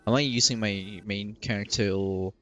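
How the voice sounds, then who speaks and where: A man's voice: lowish voice, fairly even intonation, moderate pace, sharp tone, faint music behind. A man giving casual, offhand commentary as he plays through a video game. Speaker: gaming video creator. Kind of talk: casual let's play commentary.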